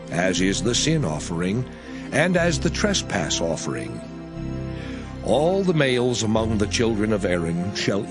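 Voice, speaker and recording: A man reading scripture aloud in a measured, expressive way. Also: fairly low pitch. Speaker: scripture reader. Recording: voice over clear background music.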